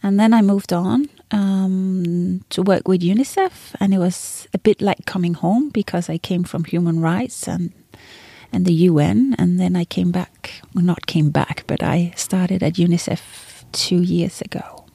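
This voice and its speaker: Interview guest: middle-aged Greenlandic woman, Danish mother tongue, warm low-brightness voice, close dry sound. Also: steady tone, unhurried, vocal fry, slightly rough, no echo. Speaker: interview guest, executive and former politician. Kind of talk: personal career account in an interview.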